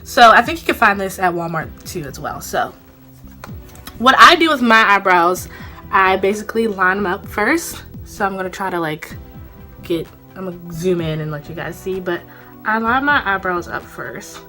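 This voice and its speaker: A woman's voice: mid-range voice, animated, normal speed, sharp tone, soft background music. A young woman vlogger chatting casually through a makeup tutorial, a bit congested from a cold and rambling with fillers, filmed close on a phone in a small room. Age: young adult. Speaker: beauty vlogger. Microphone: phone camera, close up.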